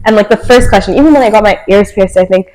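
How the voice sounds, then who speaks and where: A young Canadian woman chats as a podcast guest, loose and easygoing. Her talk is packed with "like" and quick laughs. Her voice sits at an ordinary middle pitch. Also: a little mellow, rough recording. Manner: chatty, filler-heavy with many likes, laughs often. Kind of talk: casual podcast conversation.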